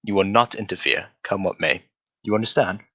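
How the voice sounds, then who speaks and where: An actor playing a brisk, self-assured gentleman detective in an old-style radio drama, with precise, formal diction. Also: low voice, muffled recording. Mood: brisk, commanding. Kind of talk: 1940s radio drama acting.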